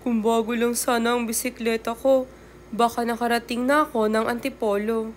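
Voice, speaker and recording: A woman's voice: fairly high pitch, little pitch movement, moderate pace, close to an everyday voice. A woman voicing a wistful, earnest young boy in a children's storybook, with a plaintive way of speaking. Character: wistful young boy longing for a newer bicycle. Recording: clear background noise.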